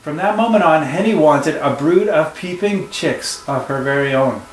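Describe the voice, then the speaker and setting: Brief: male teacher, read-aloud storytime, expressive, slightly high voice, echoey home room. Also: moderate pace, a little hiss or hum. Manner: warm, expressive storytelling with asides. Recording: echoey room with faint ambience.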